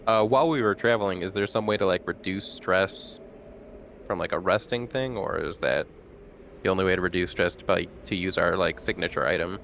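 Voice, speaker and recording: Dude player at a tabletop game, low voice, chill, some hiss. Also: male voice, fairly quick, a bit bright. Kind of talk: casual chat at a game table.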